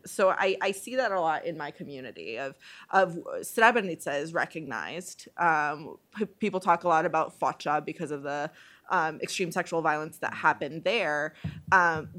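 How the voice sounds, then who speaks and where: The low voice of a hesitant, wryly self-deprecating woman from Bosnia and Herzegovina, heard among panelists at a public discussion. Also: a bit bright. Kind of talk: informal spoken panel commentary.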